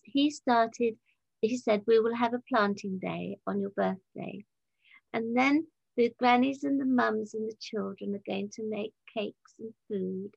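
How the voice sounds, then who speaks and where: Woman in her seventies, higher-pitched, gravelly, chatty storyteller, dull lo-fi call audio. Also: no echo. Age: 70s. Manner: chatty storyteller, quotes people as she goes. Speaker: interview guest, leader of a community organisation. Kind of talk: casual anecdotal interview talk. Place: remote video call. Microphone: video call.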